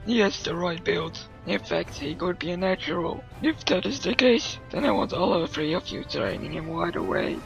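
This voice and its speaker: Male actor as imperious dark fantasy cartoon lord: high, gravelly creak, curt commands, cold temper. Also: expressive, normal speed, few pauses, heavily acted, rough recording. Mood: commanding. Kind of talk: amateur animated fantasy series acting. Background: music.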